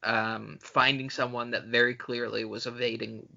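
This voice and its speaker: Sharp, gravelly-voiced man chatting. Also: mid-range voice, little pitch movement, normal speed, lo-fi sound. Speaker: podcast co-host. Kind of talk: casual fan podcast chat.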